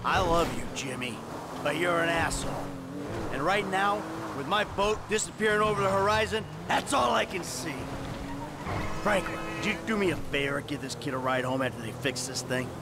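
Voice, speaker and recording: An actor playing an irate, weary middle-aged American father in a video game, gruff and foul-mouthed. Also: on the high side, normal speed, lightly acted. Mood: bitter, weary. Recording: loud engine and road noise behind.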